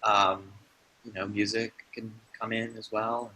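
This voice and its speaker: A man's voice: fairly low pitch, little pitch movement, unhurried, sharp tone, low-quality recording. A man artist in a casual chat, easygoing and thinking aloud about sound ideas. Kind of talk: casual conversation.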